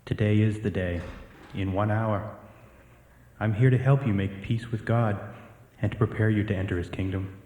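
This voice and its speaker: A man playing a gentle, solemn priest in a radio drama, speaking in measured consoling tones. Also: on the low side, fairly even intonation, lightly acted. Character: solemn priest gently preparing a prisoner for death. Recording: muffled, long echoing reverb.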